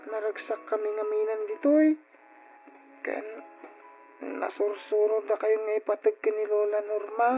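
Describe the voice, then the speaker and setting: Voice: medium pitch, fairly even intonation, normal speed, long pauses, close to an everyday voice. An actor playing an earnest, polite young orphan child in an old radio drama, with an Ilocano accent and emotive delivery. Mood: pleading. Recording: dull lo-fi radio recording with music.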